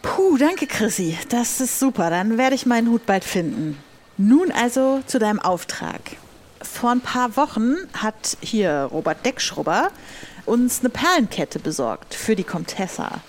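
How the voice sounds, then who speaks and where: A woman's voice: a little high, sing-song, a little slow, a little hiss or hum. A woman playing a commanding pirate captain in an audio role-play adventure. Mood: relieved, pleased.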